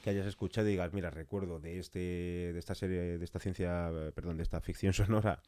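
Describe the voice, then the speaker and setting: Deep voiced guy w hosting a podcast interview, chatty questions. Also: steady tone, fairly quick, warm tone, clear, resonant tone. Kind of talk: conversational interview.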